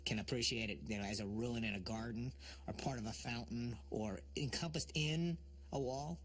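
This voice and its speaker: A man's voice: mid-range voice, normal speed. Easygoing teasing man. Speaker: salvage show co-host. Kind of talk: casual chat.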